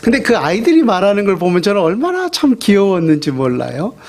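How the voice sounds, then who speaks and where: A middle-aged man gives a sermon as a pastor, in a relaxed, conversational tone. His voice sits a little on the high side for a man. He drops in small fillers and draws out the words he wants to stress. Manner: conversational, with fillers and slow emphatic phrases.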